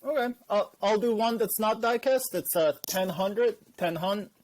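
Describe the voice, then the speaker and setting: A man's voice: high-pitched, unhurried. A man guesting on a podcast, talking casually and animatedly, often acting out what other people say. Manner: animated, acts out quoted voices. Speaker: guest YouTuber.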